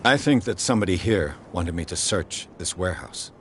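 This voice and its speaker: Terse formal investigator, very deep voice, varied intonation. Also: male voice, expressive, moderate pace, noisy background. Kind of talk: video game voice acting.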